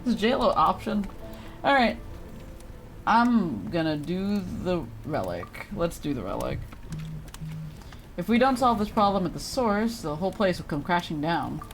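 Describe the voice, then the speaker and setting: A woman's voice: on the low side, sing-song, measured pace, pauses often. A woman streams a video game with casual, sarcastic commentary. Her voice swings around a lot, blunt and giggly, with faint music behind.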